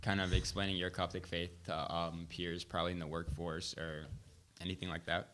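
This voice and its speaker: Young host, very low voice, relaxed, lots of ums. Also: male voice, normal speed, slight background noise. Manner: laid-back, hesitant with fillers. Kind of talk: casual conversation.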